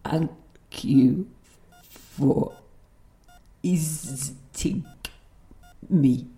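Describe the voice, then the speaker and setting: Very slow, frail grandmother. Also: deep voice, dark tone, full character voice. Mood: effortful, tender. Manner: halting, stammering, words broken apart. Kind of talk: audio play acting. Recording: roomy, echoing sound.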